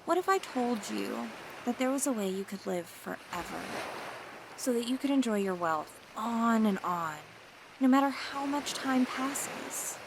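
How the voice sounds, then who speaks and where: Seductive scheming mermaid, voiced by a woman; teasing, coaxing, higher voice, noisy background. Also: expressive, unhurried, gravelly. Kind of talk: ASMR roleplay audio.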